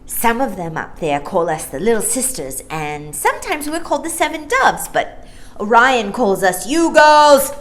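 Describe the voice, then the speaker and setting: A bubbly little star-child from a children's storybook, acted out by a woman. The voice sits high and leaps about with gushing, exclaiming delight. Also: sing-song, unhurried. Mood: excited, chatty.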